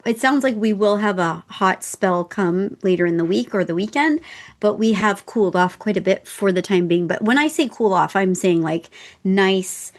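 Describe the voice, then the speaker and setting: A mid-pitched woman co-hosting a podcast, explaining step by step with casual restarts. Her tone is plain and conversational. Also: lively intonation, moderate pace. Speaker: podcast co-host, accountant.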